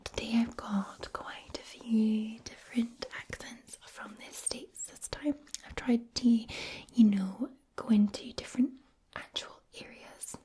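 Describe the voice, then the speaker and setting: A woman from Scotland hosting an ASMR accent video in a hushed, unhurried whisper. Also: on the high side, fairly even intonation, husky. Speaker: ASMR video creator and host.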